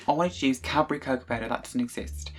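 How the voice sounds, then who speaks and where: A man's voice: medium pitch, fairly quick, faint music behind. A young British man chatting his way through a casual cooking vlog in his bedroom, rambling with lots of ums and self-corrections. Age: young adult. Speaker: vlogger. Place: small bedroom indoors. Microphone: desk webcam at close range.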